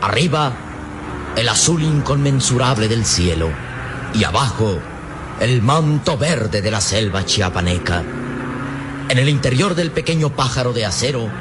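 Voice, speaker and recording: A man's voice: mid-range voice, animated, a little slow, noisy background, lightly acted. A man narrating a radio drama in a formal, declamatory, scripted style.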